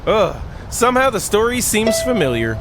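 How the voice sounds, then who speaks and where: A man's voice: high-pitched, big pitch swings, normal speed. A man hosting a gaming video, reading a story aloud in a goofy way and breaking off for joking asides, with game music behind him. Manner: goofy, giggly, exclaiming, drifts between reading and quips.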